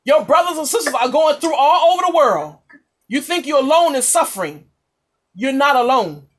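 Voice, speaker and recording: A woman's voice: high voice, expressive, moderate pace. A woman preacher giving an impassioned, exhorting charismatic sermon, repeating phrases for emphasis.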